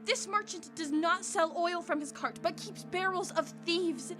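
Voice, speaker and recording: A woman's voice: extremely high pitch, a little slow. A woman playing a loyal, bold young servant girl in a radio play, speaking in earnest, formal diction over music. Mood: earnest, firm.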